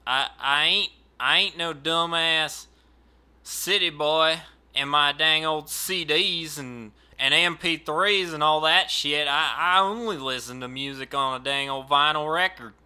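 A man playing a dim rural customer service rep on the phone, speaking in a put-on Southern drawl with a slow, stammering, folksy manner. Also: a little high, fairly even intonation, sharp tone, smooth.

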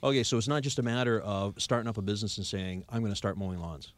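A man's voice: fairly low pitch, fairly even intonation, fast, dry recording. A man with a Canadian accent hosting a radio show in a conversational interview style. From Victoria, Canada.

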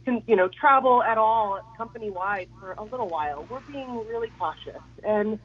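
A woman guest with a head cold, her voice raspy and hoarse, chatting casually with lots of fillers. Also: mid-range voice, moderate pace, dull audio. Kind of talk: casual radio chat.

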